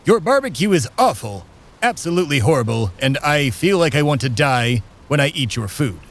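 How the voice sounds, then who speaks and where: A man's voice: a little high, very animated, normal speed, fairly bright tone. A man hosting and starring in a comedy audio drama, talking in a formal, pompous way. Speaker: host, lead character.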